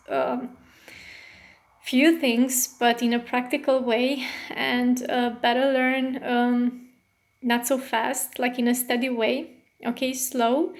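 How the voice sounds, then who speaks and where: A woman from Romania talks casually about her own life in non-native English. She speaks in a fairly high, even voice with little rise and fall. She rambles, fills pauses with ums and often breaks off and restarts a word. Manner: rambling, with frequent fillers and self-corrections. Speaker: astrologer. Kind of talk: casual personal monologue.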